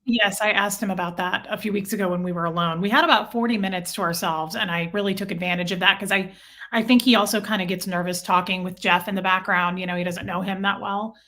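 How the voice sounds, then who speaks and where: A woman's voice: medium pitch, fairly even intonation, quick pace, sharp tone. A woman speaking as an interview guest, telling personal stories casually and earnestly, often acting out both sides of conversations.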